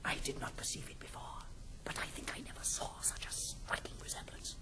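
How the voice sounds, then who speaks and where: An actor playing a shrewd, sentimental old English uncle in a classic stage comedy, speaking in an exclamatory, theatrical manner. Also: male voice, brisk, whispered, natural voice. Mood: moved, fond. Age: older. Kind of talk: classic stage comedy acting with muttered asides.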